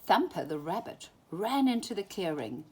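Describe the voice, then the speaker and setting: A woman reading a children's story aloud in an animated, dramatic way, often dropping into hushed tones. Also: mid-range voice, moderate pace, a little hiss or hum. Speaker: storybook reader.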